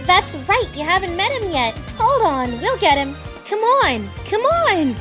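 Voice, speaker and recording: A woman voicing an excitable, cheerful young animal child, gushing and eager, in a cartoon comic dub. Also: very high-pitched, a little slow, exaggerated voice. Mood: excited. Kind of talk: comic dub character acting. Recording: music behind the voice.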